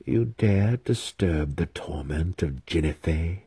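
A man voicing an imperious, monstrous female demon handmaiden in a fantasy audiobook, snarling slowly with contempt. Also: low voice, animated, warm tone, breathy edge, full character voice. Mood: menacing. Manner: snarling, slow, drawn-out contemptuous delivery.

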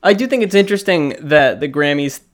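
A man's voice: on the high side, animated, fairly quick. A man co-hosting a podcast, talking in a wry, joking way and laughing often. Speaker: podcast co-host. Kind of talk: casual chat.

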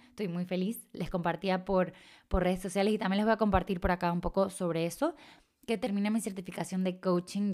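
A woman's voice: fairly low pitch, a little mellow. Chilean woman hosting a reflective podcast. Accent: Chilean Spanish, slightly mixed. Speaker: podcast host and coach. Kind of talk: reflective solo podcast talk.

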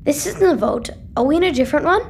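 Sci-fi audio serial: small boy voiced by a woman, innocent and bewildered, notably high, slight ambient noise. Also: animated, fast, darkish tone. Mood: confused. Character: Tom, confused young boy from Earth, trusting, questioning. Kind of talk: sci-fi audio drama acting.

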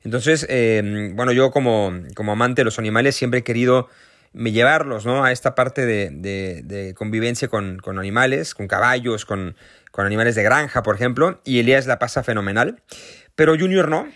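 A Mexican man in his thirties speaks earnestly in a recorded message, pausing often as he searches for words. His voice sits at an ordinary pitch. The recording is dry and close, with no room sound. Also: fairly bright tone, dry recording. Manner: earnest, thoughtful, with frequent hesitations. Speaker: guest parent, association founder.

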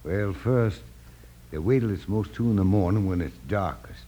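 An actor playing a plainspoken, grim homesteader with an American Western drawl in an old-time radio western. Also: male voice, sits low, normal speed, warm tone. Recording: dull, lo-fi old radio recording.

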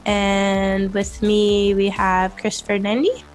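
A woman hosting a casual tech podcast panel, her voice a little hoarse from being sick, keeping things moving with friendly, quick introductions. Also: mid-range voice, level tone, soft background music, low-quality recording. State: hoarse from illness. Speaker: podcast host.

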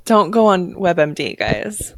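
A woman's voice: mid-range voice, takes it slowly, dry recording. A woman co-hosting a podcast, chatting casually and informally with plenty of slang. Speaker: podcast co-host. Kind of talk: casual chat about a spy case.

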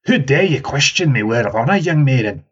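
A stubborn old man holding court in a tavern, as a male narrator puts him on, full of bluster and grand proclamations, dropping ye and aye as he goes. His husky voice is pushed higher and louder than the plain telling, and takes on a different colour from it. The recording is dull and lo-fi. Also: big pitch swings, normal speed. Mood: indignant.

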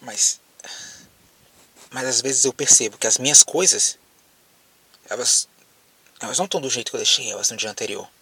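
A man acting in an audio drama as a lonely, frightened apocalypse survivor with a Brazilian accent, speaking haltingly as if on a phone call. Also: medium pitch, bright, forceful tone, breathy edge, dry recording, far from the mic, heavily acted. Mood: uneasy, hushed. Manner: hesitant, halting, repeats words.

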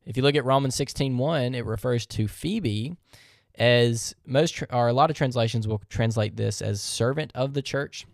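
A man's voice: medium pitch, moderate pace, creaky, dry recording. Male podcast host, mid-range voice, methodical Bible-teaching monologue, dry close recording. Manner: earnest, methodical, reads verses aloud; rhetorical questions.

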